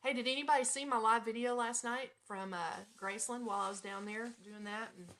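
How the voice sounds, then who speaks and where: A woman's voice: a little high, fairly even intonation, fairly quick, a bit bright, slight background noise. An American woman hosting a casual live radio show, speaking in an informal, chatty manner as she moves between reading items and talking to listeners. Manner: informal, chatty, breaks into giggles and asides.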